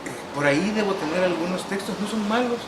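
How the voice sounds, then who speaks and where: A middle-aged man from El Salvador with a Salvadoran Spanish accent, talking through his life as a writer in an unhurried, reflective way, pausing on "eh" and adding wry humor. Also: high-pitched, animated. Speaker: interviewee, novelist and musician. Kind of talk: relaxed recorded interview. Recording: echoey room with air-conditioning hum.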